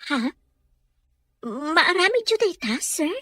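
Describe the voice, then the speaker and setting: A worried, humble young Filipino mother in an audio drama, played by a woman. She has a high voice and speaks meekly and respectfully in a dry recording. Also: very animated, takes it slowly, fairly bright tone, no echo, heavily acted. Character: anxious, humble young mother working at a mansion. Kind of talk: Ilocano audio drama acting.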